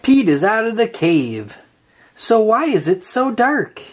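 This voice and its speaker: A woman reading a children's picture book aloud with slow, expressive, sing-song delivery. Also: fairly high pitch, husky. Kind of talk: read-aloud storytelling for kids.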